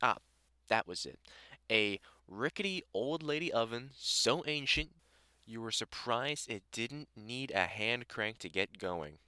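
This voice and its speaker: Man playing a sardonic British vampire hunter, crisp and bright. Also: medium pitch, animated, a little slow, dry recording. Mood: teasing, amused. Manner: dry, sarcastic, chatty, informal with mild swearing. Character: gruff, sardonic but welcoming male vampire hunter. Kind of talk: conversational one-sided audio roleplay addressed to the listener.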